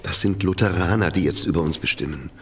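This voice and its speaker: An actor playing a devout, steadfast imprisoned preacher in a historical radio drama, subdued and solemn. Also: male voice, sits low, quick pace, noisy background, natural voice. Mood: resigned, steadfast.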